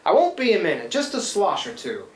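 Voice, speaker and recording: A man playing a rough, humble riverboat skipper in a live radio play, folksy and deferential in his speech and easily flustered. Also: sits high, huge pitch swings, fairly quick. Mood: obliging. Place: live stage performance. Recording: roomy live stage recording, faint noise.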